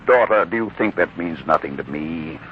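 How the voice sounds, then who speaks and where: Proud old-time radio blacksmith father, very expressive. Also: low voice, moderate pace. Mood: proud, firm. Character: proud, loyal, warm-hearted blacksmith father. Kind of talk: 1940s radio drama acting. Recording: muffled, noisy 1940s radio recording.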